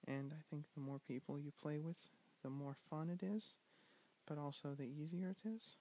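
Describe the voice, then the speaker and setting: A young man with a soft, gentle voice talks a listener through a board game, often sinking into a breathy whisper. His voice sits a little high for a man, and he hesitates with ums as he goes. It sounds picked up by a handheld camera mic. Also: steady tone, moderate pace, poor audio. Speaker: ASMR board game teacher. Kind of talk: soft-spoken ASMR tutorial, often whispering.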